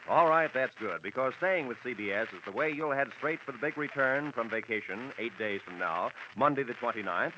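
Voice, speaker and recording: A man reading scripted promotions as a polished, emphatic 1940s radio network announcer. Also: mid-range voice. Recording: noisy, muffled 1940s radio broadcast.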